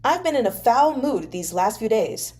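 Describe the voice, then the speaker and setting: Woman voicing a sulky, mellow-toned nobleman. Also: a little high, very animated, normal speed. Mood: sullen, irritated. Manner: grumbling, dismissive. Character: sulky, reluctant nobleman, a new father. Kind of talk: dramatized audiobook-style reading of a novel.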